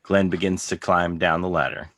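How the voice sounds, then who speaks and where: A man's voice: low-pitched, little pitch movement, moderate pace, fairly bright tone, no echo, clean studio sound. A man narrating scripted stage directions as the narrator of a drama reading.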